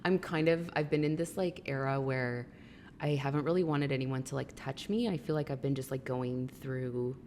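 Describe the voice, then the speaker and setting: Chatty 30s woman podcast host, really low voice, bit of background noise. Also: steady tone, normal speed, darkish tone. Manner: chatty, informal, filler-heavy with frequent "like". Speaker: podcast host interviewing a guest. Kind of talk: casual chat interview.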